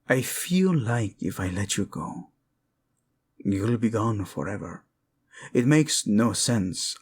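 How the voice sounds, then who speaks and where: Man playing a slow-spoken romantic king, Spanish accent. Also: fairly low pitch, breathy edge, heavily acted. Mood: scared, tender. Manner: tender, halting, dramatic, sprinkles in endearments. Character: protective young king, tender, lovesick, proud, playful romantic. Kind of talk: intimate romantic audio drama acting addressed to listener.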